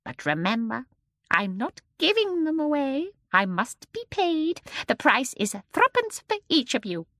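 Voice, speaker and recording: A woman voicing a tiny, very old, domineering storybook woman with a high, shrill, squeaky voice. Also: big pitch swings, moderate pace, bright, forceful tone, no room sound, clean studio sound, full character voice. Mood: sweet, gleeful. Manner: chattering, domineering, exclamatory. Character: tiny ancient shrill woman, fierce then sweet, gleefully eccentric.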